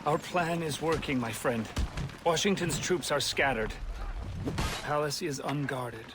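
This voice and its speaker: Earnest high-voiced male rebel leader, acted by a man. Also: measured pace, background noise, lightly acted. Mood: confident. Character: determined male revolutionary leader plotting against a tyrant. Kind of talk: video game voice acting.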